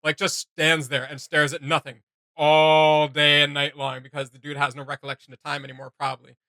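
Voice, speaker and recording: A man's voice: on the high side, flat delivery, fairly quick, bright, forceful tone, no room sound. A man hosting a reaction video with casual, off-the-cuff commentary, blunt and sarcastic as he rambles.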